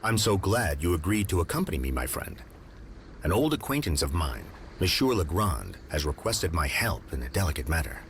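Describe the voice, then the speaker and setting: A man playing a composed gentleman detective in an adventure game, speaking in a formal, measured, courteous way. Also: sits low, big pitch swings, slight background noise, full character voice. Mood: composed, purposeful. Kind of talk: scripted adventure game dialogue.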